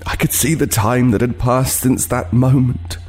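An actor playing a haunted, remorseful homeless killer, narrating a horror audio drama gravely and with anguish. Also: male voice, mid-range voice, steady tone, measured pace, a little mellow, soft background music, lightly acted. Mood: haunted dread.

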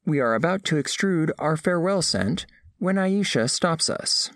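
A man's voice: a little high, animated, moderate pace, a bit bright, a little hiss or hum. A man playing a formal, curious alien scholar who narrates an audio drama in precise, clinical wording. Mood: formal curiosity. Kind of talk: scripted first-person story narration.

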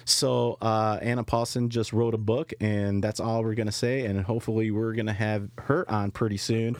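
A man hosting a podcast, chatting casually and haltingly with lots of fillers. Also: fairly low pitch, flat delivery, darkish tone, no echo. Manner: friendly, halting, many fillers. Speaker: podcast host. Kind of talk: casual interview chat.

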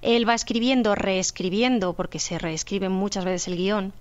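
A woman's voice: mid-range voice, steady tone, normal speed, dull audio. A woman with an accent from Spain, methodically explaining her work as a radio producer in an interview, step by step. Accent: Spanish from Spain.

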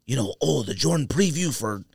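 A man's voice: mid-range voice, expressive, a little fast, vocal fry, crowd noise. An American man hosting a podcast in casual chat, with a slangy, opinionated way of talking. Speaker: sneaker podcast host.

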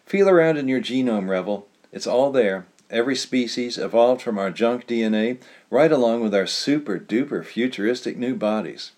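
A man's voice: mid-range voice, animated, moderate pace, a little mellow, close to an everyday voice. A man voicing a gleeful, boastful old man in a giddy, raised voice.

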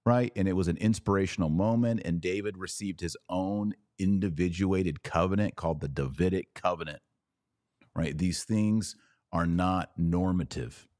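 A man's voice: low-pitched, normal speed, darkish tone, dry recording, studio quality. A man hosting a livestreamed commentary podcast, talking emphatically with sarcastic, mocking asides. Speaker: podcast host.

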